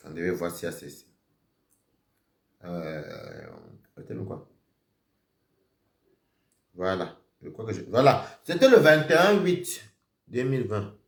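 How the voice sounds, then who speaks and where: A man ranting on a live stream, accusing someone directly. He repeats himself and swings between shouting and muttering. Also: low voice, sing-song, slow, vocal fry, long pauses, echoey room. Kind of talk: heated live-stream rant.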